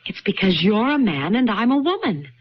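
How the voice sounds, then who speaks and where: Anxious, animated young wife, muffled radio. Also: female voice, mid-range voice, normal speed, slightly rough. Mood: earnest, insistent. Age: young adult. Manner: earnest, pleading. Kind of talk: vintage radio drama acting. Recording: muffled vintage radio recording.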